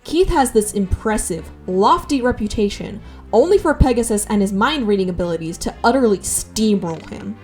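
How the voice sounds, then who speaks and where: A woman's voice: mid-range voice, expressive, normal speed, darkish tone. A woman hosting a scripted video essay with wry, conversational asides, over background music.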